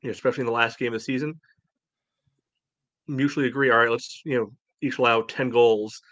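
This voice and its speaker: A man's voice: mid-range voice, steady tone, brisk, fairly bright tone, no echo. Male sports podcast host, opinionated and emphatic, peppers speech with "you know", dry recording. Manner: emphatic, blunt, indignant; frequent "you know" filler.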